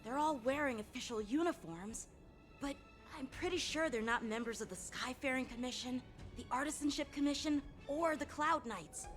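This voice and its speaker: A woman's voice: high voice, normal speed, lightly acted. A woman voicing an earnest, analytical, slightly proud young boy knight in an anime-style video game. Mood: suspicious. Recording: music behind the dialogue.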